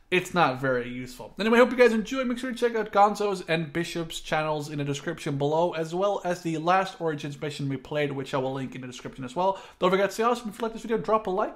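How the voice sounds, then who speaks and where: A man's voice: a little high, fairly bright tone. A man in his twenties hosting a gaming video with casual, sweary commentary and chat. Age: 20s. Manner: informal, sweary. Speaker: gaming video host and player. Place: echoey room at a new place. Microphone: microphone of reduced quality.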